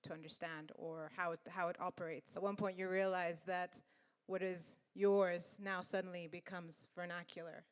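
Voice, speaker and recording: A woman giving a public talk in a reflective way, pausing and restarting. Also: fairly low pitch, flat delivery, smooth. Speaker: guest speaker. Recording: hall reverb.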